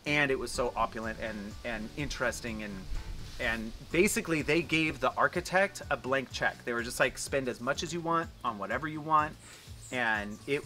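A man's voice: mid-range voice, normal speed, bright, forceful tone, soft background music. A man in his forties hosting a casual family travel vlog outdoors, chatty and rambling, joking and exaggerating. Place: outdoors on a patio.

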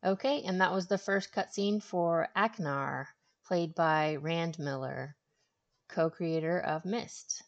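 A woman hosting a casual game walkthrough, speaking with hesitant pauses mid-sentence. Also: low-pitched, muffled recording. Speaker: walkthrough host.

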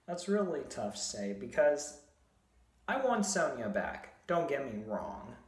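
A young man with a sore, hoarse throat chats casually to a phone camera in a hotel room, hesitant and full of fillers. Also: mid-range voice, big pitch swings. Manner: hesitant, many fillers and restarts, slow. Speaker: video host. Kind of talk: casual vlog chat. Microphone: phone camera at arm's length. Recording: echoey small room.

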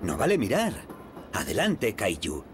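A man's voice: lowish voice, huge pitch swings, measured pace, heavy background noise. A man playing a friendly, playful train conductor in a Castilian Spanish cartoon dub, speaking encouragingly to a small child. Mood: playful, kindly. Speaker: cartoon voice actor.

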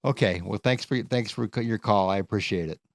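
A man hosting a radio talk show, relaxed and joking in a casual interview with a caller. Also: lowish voice, brisk, vocal fry, dry recording, clean studio sound. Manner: relaxed, joking, laughs easily. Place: radio studio. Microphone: studio microphone.

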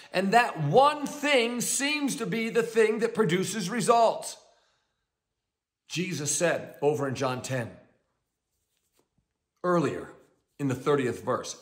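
An enthusiastic male preacher teaching outdoors in a meadow, with a loud voice and an easy laugh. His voice sits a little high and swings widely as he talks. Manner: enthusiastic, animated, laughs often; self-described loud voice. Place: outdoors in a rural valley meadow.

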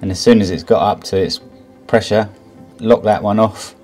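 A man's voice: on the low side, normal speed, a little mellow, soft background music. A man, explaining boat maintenance in a practical, plain way on a vlog. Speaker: vlogger, co-host. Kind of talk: casual explanatory vlog talk.

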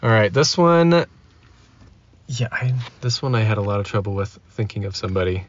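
A man talks casually as a guest on a podcast. His voice is fairly low. The sound is lo-fi and dull, with faint noise behind him. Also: expressive, normal speed. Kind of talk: casual chat.

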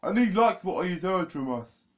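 A man voicing a smug villain who quietly threatens two young men. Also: sits high, animated, moderate pace, highs cut off, voice pushed up.

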